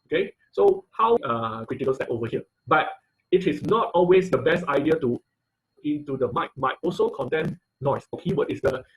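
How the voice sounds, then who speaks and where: A man giving an informal live technical lesson as an instructor, speaking haltingly, repeating phrases and often checking in with "okay" and "right". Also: on the high side, lively intonation, dull audio. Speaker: online class instructor.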